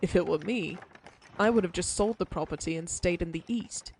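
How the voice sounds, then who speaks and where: A woman's voice: fairly low pitch, normal speed, a little mellow, slight background noise, close to an everyday voice. A woman voicing a prim, sympathetic frontier lawyer in an audio roleplay, speaking formally with old-fashioned phrasing. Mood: calm, polite.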